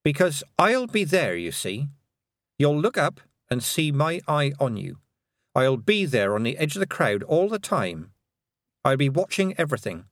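A man voicing a calm young witch girl who speaks with quiet, steady menace. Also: mid-range voice, dry recording, studio quality, pitched above the performer's own voice.

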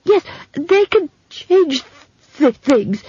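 A woman performs a lanky apprentice wizard lad from a storybook, pitched high and earnest. He stutters heavily on consonants and gulps for air between halting phrases. The audio is slightly dull. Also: sing-song, mellow, no echo, full character voice. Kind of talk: audiobook character voice.

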